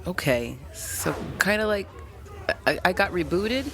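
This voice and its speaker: A woman's voice: fairly low pitch, animated, fairly bright tone, slight background noise. A woman role-playing a vain sci-fi doctor, now humbled and confused, speaking hesitantly in a tabletop game. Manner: hesitant, halting. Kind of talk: improvised tabletop role-play.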